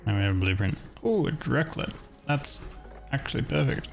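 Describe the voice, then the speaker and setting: A man's voice: medium pitch, expressive, moderate pace, gravelly, faint music behind. A man streaming himself playing a game, muttering his moves to himself with little sung filler sounds. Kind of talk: casual gameplay commentary.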